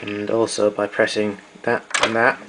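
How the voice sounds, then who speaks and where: A man's voice: lowish voice, monotone, normal speed, noisy background. A man giving an informal technical demonstration of equipment, explaining things methodically.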